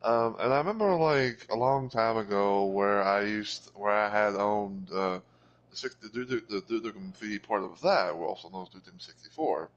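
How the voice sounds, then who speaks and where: A man co-hosting a podcast, talking casually in a hesitant, halting way with fragmented phrasing. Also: on the low side. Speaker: podcast co-host. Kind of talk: casual chat, reminiscing. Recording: slightly dull, lo-fi microphone.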